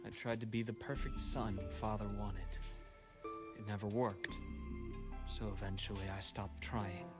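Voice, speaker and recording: An actor playing a composed, bitter man who survived research, speaking in measured, understated video game dialogue. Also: on the low side, fairly even intonation, lightly acted. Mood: wistful, bitter. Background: game music.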